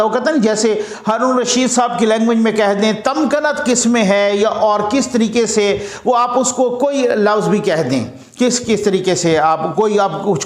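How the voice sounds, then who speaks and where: A man with a Pakistani accent giving emphatic, conversational political commentary as a journalist, repeating phrases to drive points home. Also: sits high. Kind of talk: political commentary straight to audience. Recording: echoey, roomy sound.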